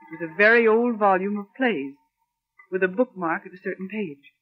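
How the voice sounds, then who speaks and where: A woman's voice: mid-range voice, normal speed, breathy edge, close to an everyday voice. An actress playing an earnest, determined female secretary haunted by suspicion, in old-time radio mystery style. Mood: brooding. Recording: dull lo-fi old radio recording.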